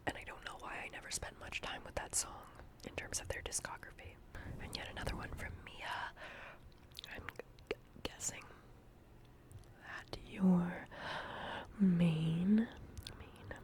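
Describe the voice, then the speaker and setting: This is a woman hosting an ASMR guessing game. She speaks almost entirely in a breathy whisper with hardly any voicing. She often repeats words and breaks syllables into little stuttered echoes for effect. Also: on the low side. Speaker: ASMR host.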